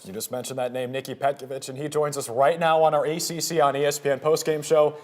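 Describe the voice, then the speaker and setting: A man's voice: a little high, flat delivery, fast talker, darkish tone, slight background noise. A man in his early twenties working as a sports broadcaster, with polished commentary and reporting. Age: early 20s. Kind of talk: play-by-play commentary, interviews and studio reporting.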